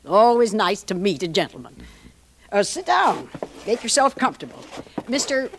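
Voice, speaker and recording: An actress playing a flirtatious, folksy American madam of a frontier boarding house in a radio western, gushing and familiar. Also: female voice, mid-range voice, very animated, normal speed, a little hiss or hum. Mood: coy, flattering. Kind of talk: old-time radio western acting.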